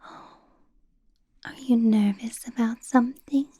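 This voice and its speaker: A woman's voice: on the high side, fairly even intonation, slow, dark tone, slightly rough. Woman whispering as a teasing vampire boss. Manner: whispered throughout, teasing, menacing, playful laughs. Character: centuries-old female vampire boss, teasing, possessive. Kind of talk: ASMR roleplay.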